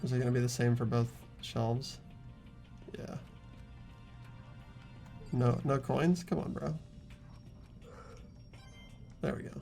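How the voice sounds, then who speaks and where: A man's voice: mid-range voice, steady tone, slow, creaky, pauses often, dark tone, soft background music. A young man hosting a group game playthrough, moving between reading narration and casual commentary.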